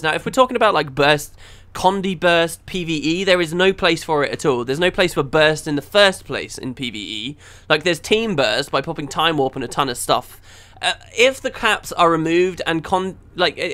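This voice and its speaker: A man with a bright, forceful voice talks through a game critique in casual speech. His pitch swings widely as he argues his points bluntly and emphatically. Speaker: game build reviewer and critic. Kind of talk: casual critical gaming chat.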